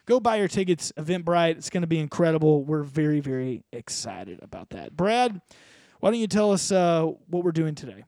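A man's voice: a little high, sing-song, brisk, gravelly, hardly any pauses, a little mellow, no echo. A man co-hosting a church podcast, giving upbeat event announcements. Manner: upbeat promotional. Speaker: podcast co-host.

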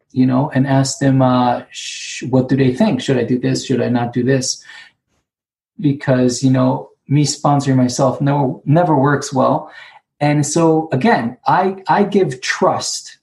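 A man's voice: medium pitch, vocal fry, darkish tone. Earnest man with a Hebrew accent. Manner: halting, repeats words, earnest. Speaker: recovery program speaker. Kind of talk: personal share at an online conference. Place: sitting alone, remote.

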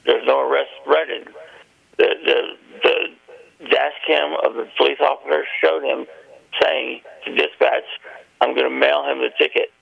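A man tells a long, wandering story as a guest. His voice is very gravelly and husky. It comes through a muffled phone line. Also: mid-range voice, flat delivery, unhurried. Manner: rambling storytelling.

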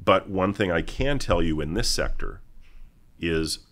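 A man in middle age with a very low voice speaks as an interview guest. He talks deliberately, stopping and restarting his sentences as he works through his points. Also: animated, a bit bright. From Canada, raised in Vancouver. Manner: halting and deliberate, many restarts. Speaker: mining company executive, guest. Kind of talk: interview answers.

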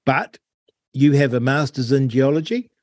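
A man hosts an interview in a relaxed, wry manner. He draws out words for emphasis, his voice sitting at a middle pitch. The sound is close and dry. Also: moderate pace, dry recording. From New Zealand. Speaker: host. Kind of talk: casual interview chat.

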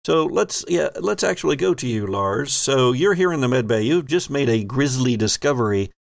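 A man narrating a tabletop role-playing game as game master in a deliberate, descriptive way. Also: mid-range voice, lively intonation, creaky, no echo, clean studio sound.

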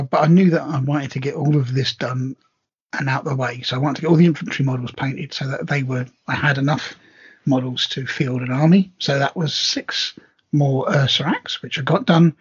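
A man co-hosting a podcast, talking in a relaxed, hesitant way with many ums and a very raspy voice. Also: medium pitch, normal speed. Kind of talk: casual hobby chat. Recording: dull lo-fi audio.

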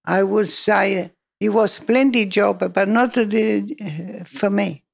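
Older woman, very slow, gravelly, hesitant broken English. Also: mid-range voice. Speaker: interviewee. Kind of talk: oral history interview.

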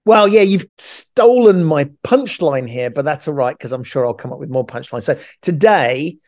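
A man's voice: on the high side, animated, normal speed, darkish tone. A middle-aged man co-hosting a podcast, chatting casually in a rambling, joking way and often restarting his phrases. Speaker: podcast co-host.

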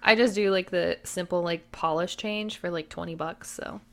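Woman podcast co-host, low and gravelly with a lot of vocal fry, relaxed and easygoing delivery. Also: moderate pace. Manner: relaxed, laughs easily, agreeable. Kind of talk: casual chat.

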